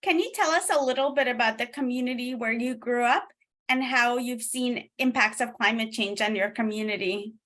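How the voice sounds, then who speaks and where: A woman hosts the conversation with a high voice and a polite, welcoming delivery. Her tone is bright and clear as she leads into each question. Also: moderate pace. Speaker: interview host. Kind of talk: scripted interview introduction.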